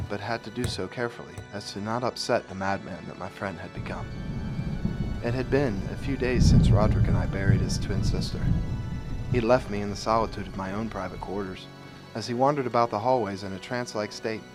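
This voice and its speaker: An actor playing a frightened but rational gentleman in a gothic audio drama, earnest and dramatic. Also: lowish voice, fairly quick, lightly acted. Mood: uneasy. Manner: earnest, anxious, dramatic storytelling. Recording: loud music and sound effects underneath.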